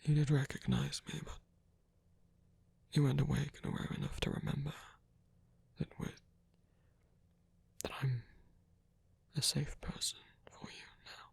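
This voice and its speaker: A performer voicing a tender reformed villain as a protective lover in an intimate, gentle, breathy ASMR roleplay, speaking slowly in a hushed whisper. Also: medium pitch, very animated, full character voice. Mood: tender, apologetic. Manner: slow, gentle, hushed, reassuring.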